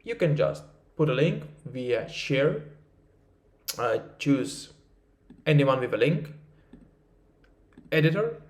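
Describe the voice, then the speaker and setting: Slow-talking Russian-accented man. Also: mid-range voice, very animated, a little mellow, echoey room. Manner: slow, halting, many fillers and restarts. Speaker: marketing research instructor. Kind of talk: screen-recorded tutorial.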